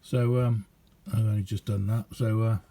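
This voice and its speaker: A man giving a casual, rambling hands-on demonstration as a radio hobbyist, chatty and hesitant in an unhurried way. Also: on the low side, little pitch movement, gravelly, warm tone.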